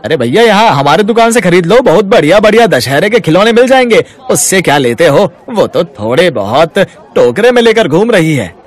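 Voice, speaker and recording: A man's voice: high-pitched, very animated, moderate pace, a faint crowd. A man playing a bossy, hard-hearted shopkeeper with a brusque, scolding manner in a moral-story cartoon. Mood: coaxing. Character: bossy, hard-hearted male shopkeeper shooing away poor vendors.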